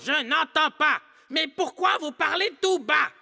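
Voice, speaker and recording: A man's voice: a little slow, sharp tone, faint music behind, full character voice. A man voicing a bossy, impatient little animal gang leader in a French cartoon dub, with sarcastic orders. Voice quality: shrill, gravelly.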